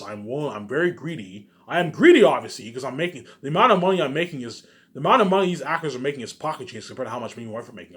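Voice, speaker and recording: A man in a rambling casual monologue, boastful and repetitive, with emphatic bursts that trail off. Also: mid-range voice. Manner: boastful, self-assured.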